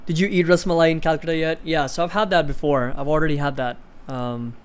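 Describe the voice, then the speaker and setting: A man in his twenties from India with a fairly high, very clear and smooth voice. He talks casually as a livestream host, fielding comments from viewers in an informal, conversational way. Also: fairly even intonation, a little fast. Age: 20s. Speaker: livestream host of an investing channel.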